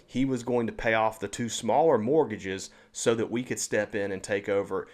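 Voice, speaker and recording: A man's voice: lowish voice, fairly even intonation, normal speed. A man who invests in real estate, talking to camera in a casual, upbeat way, repeating words and restarting sentences.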